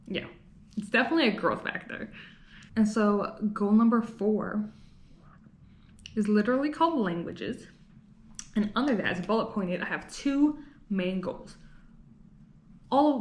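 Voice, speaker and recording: Chatty young woman, echoey room. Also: medium pitch. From Los Angeles. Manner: chatty, rambling, frequent "like" fillers and laughs. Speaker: vlogger. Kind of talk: casual talking-to-camera vlog. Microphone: camera mic.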